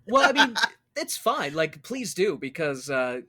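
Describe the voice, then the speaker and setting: A man's voice: a little high, big pitch swings, measured pace, bright, forceful tone, no echo. Man chatting in a dry-sounding room. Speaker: podcast host. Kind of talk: casual chat.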